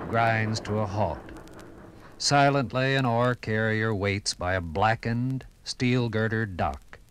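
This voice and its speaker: A man reading scripted 1950s radio documentary narration in a measured, formal storytelling manner. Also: medium pitch, a bit bright, no room sound.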